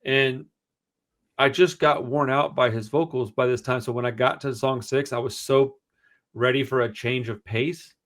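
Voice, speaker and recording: A man with a big, booming voice that he projects without shouting. He talks in a loose, slangy, rambling way, piling opinion on opinion as he co-hosts a record review show. His pitch sits in an everyday middle range. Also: fairly even intonation, moderate pace. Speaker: podcast co-host. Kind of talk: casual music review chat.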